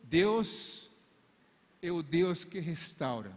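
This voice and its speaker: A man's voice: a little high, very animated, very slow. A middle-aged man whose first language is English, speaking Portuguese on a large arena stage into a handheld mic, preaching and praying fervently at a church conference. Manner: fervent prayer repeating "Father" between phrases. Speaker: couple's coach. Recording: long reverb of a large hall.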